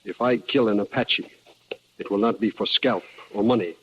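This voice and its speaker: Actor as low-voiced plainspoken frontier prospector. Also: male voice, moderate pace, a little hiss or hum, lightly acted. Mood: calm, firm. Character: plainspoken former soldier turned prospector telling his own story. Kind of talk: 1950s radio drama narration. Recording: dull old radio recording, loud music behind.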